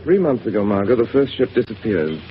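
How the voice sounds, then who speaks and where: An actor playing a calm, deductive gentleman detective who explains in a measured, confident way, in 1930s radio drama style. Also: fairly low pitch. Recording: hissy lo-fi 1930s radio broadcast.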